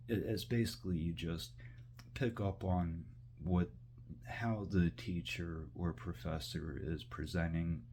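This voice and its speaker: A man with a very low voice talks at an unhurried pace, drifting from point to point with plenty of fillers and restarts. He is cooking in his kitchen as he talks. A faint hum sits under the recording. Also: a little mellow. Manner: meandering, full of fillers and restarts. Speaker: vlogger. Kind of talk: rambling casual monologue. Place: kitchen, cooking while talking.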